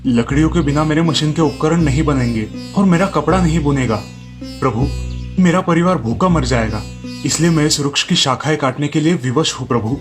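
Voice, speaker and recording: A man acts out a humble village weaver in a cartoon folk tale. He is earnest and deferential, with a fairly high male voice over clear background music. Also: brisk, close to an everyday voice. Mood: pleading. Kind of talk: animated Hindi storybook tale.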